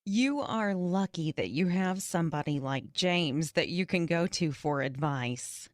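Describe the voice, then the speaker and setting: A woman reading the interviewer's part in a scripted practice job interview, asking clear, even questions. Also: on the low side, unhurried, no room sound, clean studio sound.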